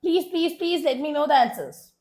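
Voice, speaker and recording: A woman teacher with a South Indian accent speaks in a high-pitched voice made hoarse by a cold. She leads a live online revision class, urging her students along. She often repeats short phrases for emphasis. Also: expressive, moderate pace, a little mellow. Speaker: chemistry teacher.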